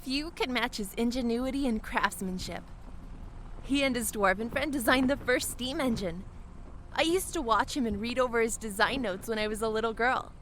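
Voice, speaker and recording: A woman's voice: fairly high pitch, normal speed, fairly bright tone, slight background noise, lightly acted. A woman playing an earnest, wistful young airship pilot in a fantasy audio drama. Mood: fond, earnest.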